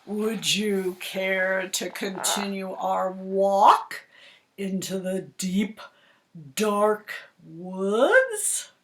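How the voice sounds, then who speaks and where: A woman voicing a sly, hungry male fox from a picture book, with suave, drawn-out, courtly politeness. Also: medium pitch, lively intonation, a bit bright, exaggerated voice. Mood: luring.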